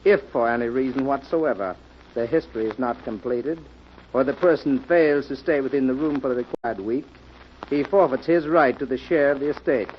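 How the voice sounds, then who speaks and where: A courteous male family lawyer, voiced by an actor in a vintage radio mystery. His voice is mid-pitched, his delivery formal and measured. The sound is dull and a little noisy. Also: close to an everyday voice. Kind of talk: 1940s radio mystery acting.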